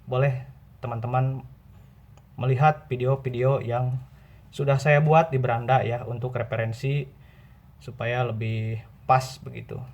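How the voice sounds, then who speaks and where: A man hosting an informal tutorial, explaining step by step with pauses and repetition. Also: mid-range voice, level tone, a little mellow. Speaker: video host and radio seller. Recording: dull, muffled lo-fi audio.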